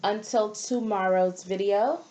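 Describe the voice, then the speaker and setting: A woman speaking as a preschool teacher in simple, deliberate phrases, leading a storytime lesson for young children. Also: medium pitch, mellow.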